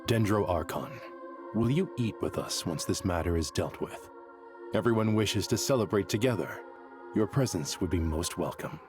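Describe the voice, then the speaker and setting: Low, formal, earnest aide. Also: male voice, lively intonation, music in the background. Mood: polite, pleased. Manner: deferential, formal, measured. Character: earnest, polite male scholarly aide to a ruler. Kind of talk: video game voice acting.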